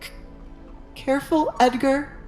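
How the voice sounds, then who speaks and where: Lady doing a scared old baron dad, high nervous voice, stammery n pleading, audio drama w music under it. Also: unhurried, a little mellow. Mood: frightened. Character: terrified older baron, stammering, pleading father.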